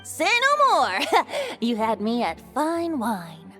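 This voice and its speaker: A woman's voice: fairly high pitch, wildly swooping pitch, slow pace, sharp tone, faint music behind, exaggerated voice. A woman voicing a cheerful, cheeky young bard in an anime game, playful and light. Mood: gleeful.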